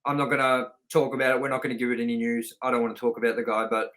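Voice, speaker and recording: Guy doing casual review chat, blunt and sweary, mid-pitched voice. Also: level tone, very fast, a bit bright. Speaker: co-host of a movie review show.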